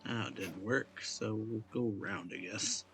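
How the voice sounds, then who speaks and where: A man's voice: lowish voice, unhurried, a bit bright, faint music behind. A man giving informal let's-play reactions, dropping short muttered comments as he plays. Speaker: gaming video host.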